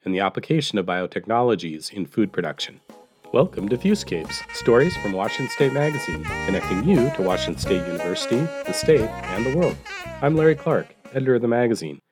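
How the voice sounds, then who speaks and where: A man hosting a podcast and reading a scripted introduction in a steady, clear way. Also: on the low side, no room sound. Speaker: podcast host, magazine editor. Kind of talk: scripted narration. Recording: dry close studio voice over faint music.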